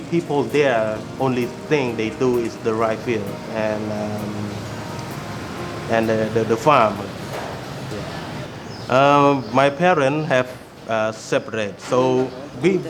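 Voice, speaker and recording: A man in his thirties with a Khmer accent, speaking haltingly in a documentary interview and searching for words. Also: medium pitch, background noise. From Cambodia. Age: 30s. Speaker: former student interviewee.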